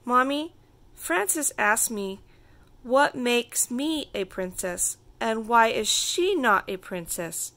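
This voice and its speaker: A woman's voice: a little high, expressive, takes it slowly, pushed and bright, full character voice. A woman voicing a bossy, dramatic little girl princess who makes grand declarations in a children's storybook. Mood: imperious, eager. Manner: grand, self-important declarations.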